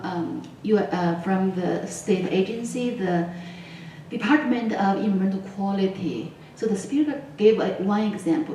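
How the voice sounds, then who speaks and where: A woman's voice: medium pitch, mellow. A woman professor on a panel, talking with many restarts and fillers. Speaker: panelist, earth science professor. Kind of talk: panel discussion answers. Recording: echoey hall mic from far off, faint noise.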